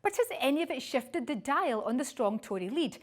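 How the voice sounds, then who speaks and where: High, lively female presenter. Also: a little fast. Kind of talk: scripted programme introduction.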